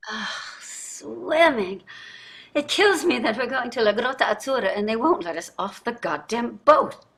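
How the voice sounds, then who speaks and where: An actress playing a privileged, jaded middle-aged wife in a staged play reading, speaking in a theatrical, effusive way. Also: female voice, on the high side, animated, measured pace, fairly bright tone, breathy edge, full character voice. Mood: indignant, concerned. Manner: theatrical, effusive, drawling endearments. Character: wealthy, jaded, privileged wife on a dystopian luxury ship.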